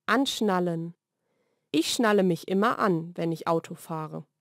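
A woman reads short scripted vocabulary lesson sentences in a clearly enunciated, measured way. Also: lowish voice, animated, no echo, clean studio sound. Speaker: language lesson narrator. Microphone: studio voice-over recording.

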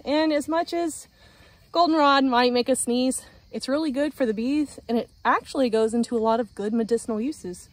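A woman's voice: sits high, normal speed, no echo. A young woman chatting casually to her phone camera outdoors in a backyard garden, upbeat while she works. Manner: chatty and upbeat, talking while doing yard work. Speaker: homestead vlogger. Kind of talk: casual vlog talk to a handheld camera. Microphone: handheld phone at arm's length.

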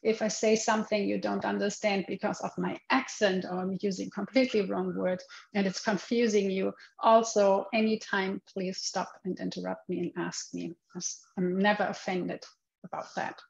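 A woman's voice: medium pitch, level tone, normal speed, creaky. A middle-aged woman from Germany with a German accent, giving a chatty, loosely worded webinar lecture over a video call, with many restarts and fillers. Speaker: guest lecturer. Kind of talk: webinar presentation. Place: home room. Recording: slightly dull video-call audio.

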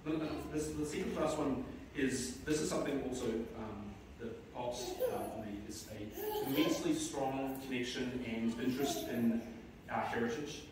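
A middle-aged man giving a eulogy for his mother in a large church, speaking in a halting way with many restarts. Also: medium pitch, background noise. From New Zealand. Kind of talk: eulogy at a memorial service. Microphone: far camera in the pews. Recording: echoey church from far off.

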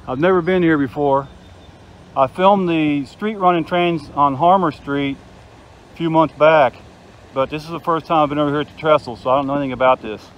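A man's voice: a little high, a little fast, lo-fi sound. A man in his fifties giving an enthusiastic, chatty video tour outdoors, talking into a handheld phone camera. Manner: chatty, enthusiastic, points things out. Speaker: vlogger. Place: outdoors by a river.

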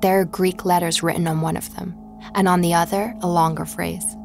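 A determined young woman investigator in an audio drama. Her voice sits a little low and she speaks reflectively, as if for her own podcast. Music plays clearly underneath. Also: little pitch movement, a little fast, darkish tone. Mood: reflective narration. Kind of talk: audio drama, conversation and first-person narration.

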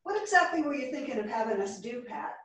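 A woman's voice: a little high, sing-song, fast. Distant, echoey actress as a sardonic woman. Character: dry, sardonic woman among roommates. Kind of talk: staged play reading. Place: theatre stage, indoors. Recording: echoey theatre stage from far off.

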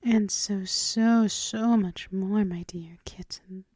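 Woman playing a slow, whispering, seductive vampire mistress. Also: medium pitch, fairly even intonation, dark tone, no room sound, studio quality. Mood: possessive, seductive. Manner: breathy, slow, teasing, coaxing. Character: seductive, dominant vampire mistress doting on her pet thrall. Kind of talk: ASMR roleplay, mostly whispered.

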